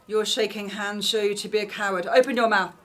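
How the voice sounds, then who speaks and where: A woman's voice: medium pitch, fairly even intonation, fairly quick, fairly bright tone, natural voice. An imperious queen of ancient Egypt, acted by a woman for an audio drama. She speaks in a middling register with stately formality. Every word is commanding and ruthless, the speech of a schemer used to being obeyed. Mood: cold, commanding.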